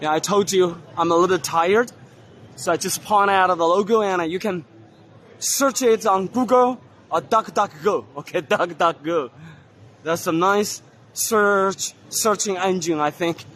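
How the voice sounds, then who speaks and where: A hoarse man with a fairly high voice gives a relaxed, halting tour of a trade fair hall. He talks slowly, filling gaps with "uh" as he points things out. A faint hum of the hall sits behind him. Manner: unhurried, halting, many fillers. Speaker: vlogger touring a trade fair. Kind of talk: casual walking-tour commentary. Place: indoor exhibition hall.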